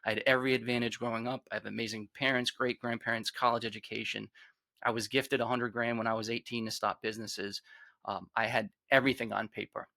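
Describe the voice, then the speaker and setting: A man's voice: medium pitch, little pitch movement, quick pace, creaky, dry recording. A man in his forties with a Rhode Island accent, earnestly telling his personal story as a podcast guest. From Rhode Island, USA. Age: 40s. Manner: earnest, reflective, trails off quietly.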